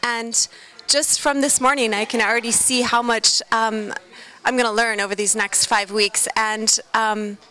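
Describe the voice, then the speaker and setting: Bright, upbeat young-sounding woman. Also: fairly high pitch, level tone, a little slow. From Las Vegas, Nevada. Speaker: culinary student. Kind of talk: interview.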